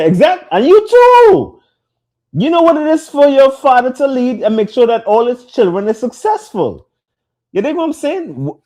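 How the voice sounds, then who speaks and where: A man's voice: high voice, dark tone. A man co-hosting a casual podcast, talking emphatically with street slang and bursts of energy. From immigrant to the US. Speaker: podcast co-host.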